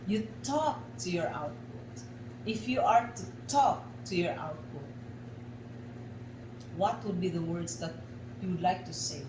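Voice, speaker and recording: A woman with a Filipino accent guides an art exercise as a facilitator, giving deliberate instructions in short, separated phrases. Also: lowish voice. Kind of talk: slow guided instruction for a radio lesson. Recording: echoey room, clear background noise.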